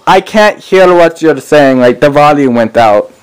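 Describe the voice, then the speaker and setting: A man making a prank phone call, talking haltingly and acting clueless and evasive. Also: fairly high pitch, lively intonation, very noisy. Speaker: prank caller.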